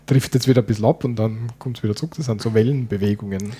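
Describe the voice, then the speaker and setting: An Austrian man talks in a relaxed podcast chat, his rolling R and a touch of dialect running through his speech. His voice is dark and mellow, with a husky edge. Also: lowish voice, quick pace. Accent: Austrian German. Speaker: podcast co-host. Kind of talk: casual chat.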